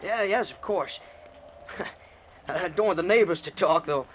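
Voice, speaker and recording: High-voiced, nervous stranded man. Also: a little slow. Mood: uneasy, sheepish. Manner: hesitant, stammering. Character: nervous stranded husband alone in a haunted house. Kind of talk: old-time radio suspense acting. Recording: muffled, noisy old radio recording.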